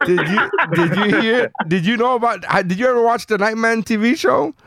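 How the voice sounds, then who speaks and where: A man's voice: sits high, fairly quick, a crowd in the background. A man in his late thirties hosting a casual comics panel, telling rambling, jokey stories with some swearing. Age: late 30s. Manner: profane, jokey, rambling storytelling. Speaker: podcast panel host. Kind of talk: casual chat about comic books.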